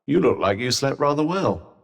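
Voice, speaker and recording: A man's voice: mid-range voice, sing-song, moderate pace, vocal fry, in a large hall. A man voicing a sardonic male talking magic bracelet in a video game, with dry teasing and arch, formal phrasing.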